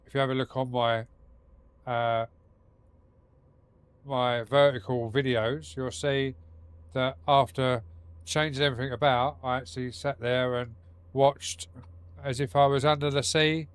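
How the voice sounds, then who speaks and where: A man's voice: medium pitch, clean tone, no room sound. A man streaming a game from his home setup, talking casually with a blocked nose and a rambling, sweary manner. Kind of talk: casual live-stream chat while gaming.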